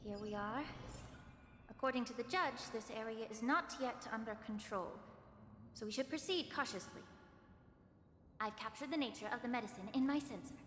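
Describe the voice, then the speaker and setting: A woman's voice: a little high, moderate pace, long pauses, a bit bright, roomy sound, long reverb tail, a little hiss or hum, natural voice. An actress playing a poised female healer in a video game, speaking in a formal, polite and precise way. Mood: composed, focused. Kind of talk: scripted video game character dialogue.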